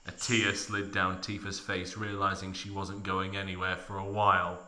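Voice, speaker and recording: A man's voice: sits low, completely flat delivery, normal speed, pushed and bright. A man narrating a comic dramatic reading in a mock-serious, theatrical manner with heavy emphasis.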